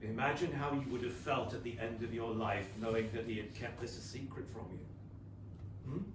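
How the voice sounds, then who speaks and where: An actor playing a gentle, pleading parent of grown daughters in Regency England, coaxing and theatrical, in a staged reading. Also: male voice, medium pitch, steady tone, moderate pace, natural voice. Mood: coaxing, compassionate. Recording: echoey theatre, distant noisy mic.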